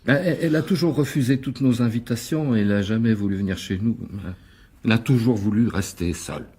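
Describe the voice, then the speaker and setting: An actor playing a wily, self-important stage actor in a French radio drama, with hesitant, theatrical delivery. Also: male voice, mid-range voice, fast talker, warm tone, slight background noise, natural voice. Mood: resigned.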